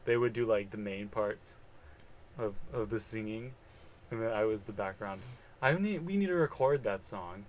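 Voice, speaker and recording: Easygoing young man with a low voice. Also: slight background noise. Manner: easygoing, quick to laugh. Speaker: podcast co-host. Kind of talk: casual chat.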